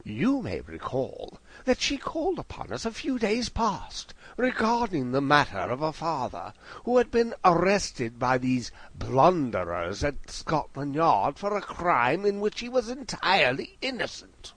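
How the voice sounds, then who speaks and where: A man's voice: a little high, wildly swooping pitch, a little slow, few pauses, a bit bright, dry recording, exaggerated voice. A man playing a cheerfully condescending Victorian detective in an audio drama, with a grand, formal, theatrical delivery. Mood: jovial, teasing.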